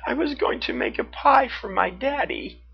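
A sweet, timid little girl in a storybook, talking in quick, excited bursts with a hint of huskiness, as the storyteller puts her on. Her voice swoops high and the recording sounds dull and lo-fi. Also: slightly rough, exaggerated voice. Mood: girlish.

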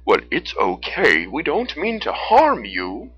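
A narrator voicing a big, gentle, caring brown bear in a deep, friendly storybook voice. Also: wildly swooping pitch, slow, dull audio, exaggerated voice. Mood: reassuring. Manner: friendly, reassuring.

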